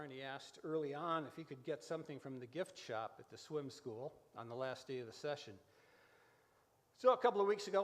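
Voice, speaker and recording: A man in his sixties hosting a live show, with a folksy storyteller's delivery, in a large church room. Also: fairly high pitch. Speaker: host of a church radio variety show. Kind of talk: live interview and scripted reflective monologue. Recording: echoey hall with long reverb.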